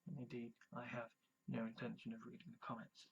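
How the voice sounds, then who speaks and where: A man from England, giving a measured, deliberate monologue full of restarts and fillers. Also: medium pitch, monotone, gravelly, highs cut off, a little hiss or hum. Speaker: video commentator. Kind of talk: casual monologue.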